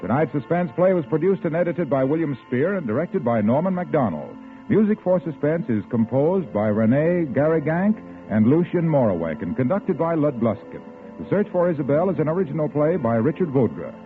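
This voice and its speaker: A man's voice: a little high, very animated, brisk, soft background music. A man announcing commercials and credits for an old-time radio program, in a persuasive, salesy way. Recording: tinny old radio broadcast.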